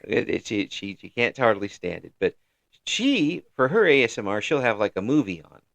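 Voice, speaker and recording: A man in his fifties hosting a casual chat interview, talking in a halting, rambling way with repeated words. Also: on the low side, very animated, vocal fry, fairly bright tone, no room sound. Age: 50s. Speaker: interview show host.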